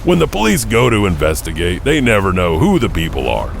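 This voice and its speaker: Male horror-legend host: low, creaky, theatrical, over noticeable rumbling noise. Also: huge pitch swings, a little fast. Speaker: storytelling host. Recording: clearly noisy background rumble.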